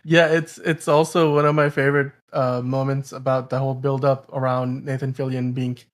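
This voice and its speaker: A man hosting a podcast, explaining film news in a casual, halting way with pauses mid-sentence. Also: mid-range voice, little pitch movement. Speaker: podcast host.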